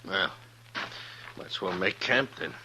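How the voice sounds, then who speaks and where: An actor playing a gruff, lonely, stubbornly generous drifter with a folksy rural American accent and a blunt manner, in a 1950s radio Western. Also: male voice, low-pitched, unhurried. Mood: gruff, insistent. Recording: noisy vintage radio recording.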